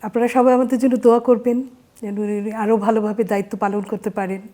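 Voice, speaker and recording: A Bangladeshi woman answers interview questions earnestly, in short, measured phrases. Her voice is soft and dark in tone, pitched a bit above the middle. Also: steady tone. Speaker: interview guest, minister's wife.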